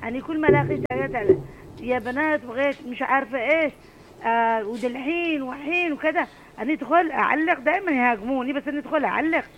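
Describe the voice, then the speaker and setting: A woman's voice: high voice, fast talker, lo-fi sound, a little hiss or hum. A middle-aged woman with an Adeni accent, calling in to a radio show and speaking passionately. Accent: Adeni Arabic. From Aden, Yemen. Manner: passionate, emphatic. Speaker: radio caller. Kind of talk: call-in radio chat.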